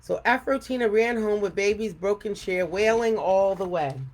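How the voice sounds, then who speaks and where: A woman's voice: medium pitch, moderate pace, rough recording. A middle-aged or older woman hosting a read-aloud, narrating for children and chatting casually. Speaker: read-aloud storytime host. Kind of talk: expressive children's story narration and casual chat.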